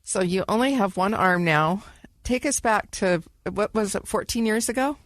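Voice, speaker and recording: A woman's voice: medium pitch, normal speed, dry recording, clean studio sound. A woman co-hosting a podcast, upbeat and chatty as she talks with a guest. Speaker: podcast co-host. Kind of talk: casual interview talk.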